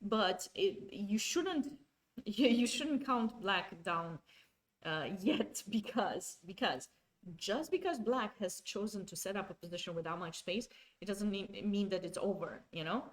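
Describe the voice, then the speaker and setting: A woman's voice: medium pitch, expressive, unhurried. A woman, commentating a chess game live and chatting with viewers, often restarting her sentences. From Romania. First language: Romanian. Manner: chatty, self-correcting, talks to chat.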